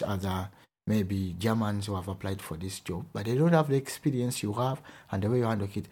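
A man's voice: mid-range voice, a little mellow, slightly rough. A man from Uganda with a Ugandan accent, whose first language is Luganda, talking earnestly in a podcast conversation with frequent restarts. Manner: earnest, emphatic, with hesitant restarts.

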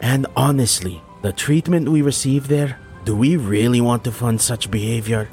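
A man voicing a self-satisfied male rogue who defends himself slowly and smoothly. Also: medium pitch, a little mellow, faint music behind, voice pushed up, close to an everyday voice. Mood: smug. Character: smug, thrifty male rogue.

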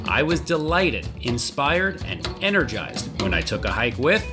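A male host reads a scripted introduction with a lively, swinging pitch. Guitar music plays clearly beneath his polished, upbeat voice. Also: measured pace. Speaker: podcast host.

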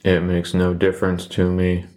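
A man voicing a deadpan, literal-minded killer who talks in a flat, robotic monotone. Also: low voice, moderate pace, close to an everyday voice. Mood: flat menace.

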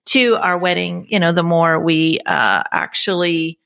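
A woman in her sixties telling personal stories conversationally as a podcast guest, halting and reflective with trailing pauses. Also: on the low side, gravelly, fairly bright tone. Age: 60s.

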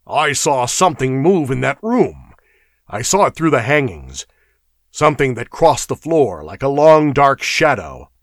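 A man's voice: lowish voice, big pitch swings, very slow, gravelly, hardly any pauses, fairly bright tone, husky, no echo, clean studio sound, heavily acted. A man voicing a proud, resentful male barbarian warrior in a fantasy audiobook. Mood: urgent alarm. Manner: resentful, blunt.

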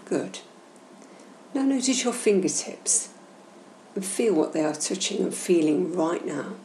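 Female meditation host, soothing instructional delivery, gravelly fry in the voice, slight background noise. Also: fairly low pitch, expressive. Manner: gentle, measured, instructional. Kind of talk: slow, soothing guided meditation narration. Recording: roomy echo, faint background noise.

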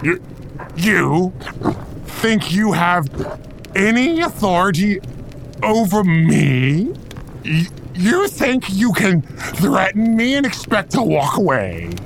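A man playing a wrathful, defiant warlord in an audio drama, speaking with bitter scorn and halting menace. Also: high-pitched, sing-song, gravelly, a little hiss or hum, exaggerated voice. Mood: menacing fury.

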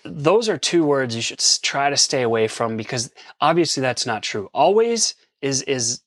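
A man's voice: medium pitch, sing-song, moderate pace, a bit bright, no room sound, studio quality. A man co-hosting a relationship advice talk, speaking in an earnest, casual way and getting emphatic when he quotes arguments. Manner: earnest, self-deprecating, emphatic when quoting. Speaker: podcast co-host. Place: lives in an RV park.

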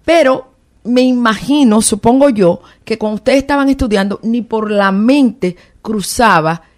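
A woman with a Puerto Rican accent hosting a podcast interview, lively and quick to react. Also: fairly high pitch. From Puerto Rico. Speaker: podcast host. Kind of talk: conversational interview.

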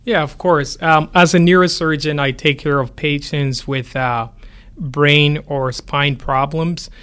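A man you'd hear as the expert guest on a podcast, answering in an even-pitched conversational voice. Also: unhurried, smooth. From Ghana. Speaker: neurosurgeon, interview guest.

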